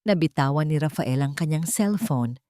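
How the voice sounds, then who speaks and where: Low-voiced woman narrating dramatic fiction. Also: animated, moderate pace, dark tone, dry recording, clean studio sound. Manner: suspenseful, emphatic. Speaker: storyteller. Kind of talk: dramatic scripted story narration.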